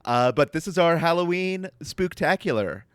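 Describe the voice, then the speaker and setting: A man's voice: a little high, expressive, moderate pace, fairly bright tone, smooth, no echo. A man co-hosting a podcast in casual chat, playful and jokey, laughing often between lines. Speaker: podcast co-host.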